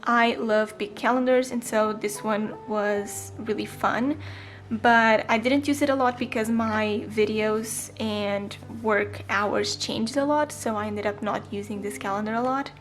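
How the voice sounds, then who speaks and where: Woman, 20, casual vlog voice-over; slightly high, chatty, hesitant ums; faint music bed. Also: fairly even intonation, unhurried. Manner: chatty, frequent ums and self-corrections. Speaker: planner vlogger. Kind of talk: casual voice-over flip-through.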